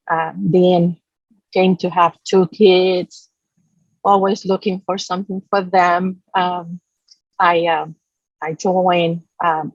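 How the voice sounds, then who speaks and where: A middle-aged Puerto Rican woman, native in Spanish, tells her story in an interview, pausing to search for words. Her accented voice is a little low, in a dry, slightly muffled recording. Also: no echo. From Puerto Rico. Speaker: interview guest, business association founder. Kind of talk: conversational personal storytelling. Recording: slightly dull, lo-fi sound.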